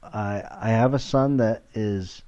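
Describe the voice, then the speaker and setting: A man's voice: on the low side, slow, mellow, muffled recording. A man around forty rambling casually as a solo podcast host, irreverent, sweary and self-deprecating, recorded at home in his kitchen.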